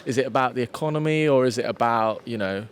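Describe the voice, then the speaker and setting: Vox pop reporter, man, mid-pitched, relaxed questions. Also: fast talker, a little hiss or hum. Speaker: interviewer. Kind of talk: street interview questions.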